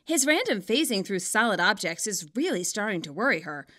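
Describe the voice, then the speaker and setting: A woman narrating a scripted story in an expressive, wryly dramatic way. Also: medium pitch, brisk. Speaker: author narrating own fan fiction.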